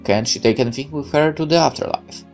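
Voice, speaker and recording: A man's voice: fairly high pitch, fast talker, creaky. A man voicing a naive, loyal young soldier in a war visual novel, earnest and eager. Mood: troubled, wondering. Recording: music under the voice.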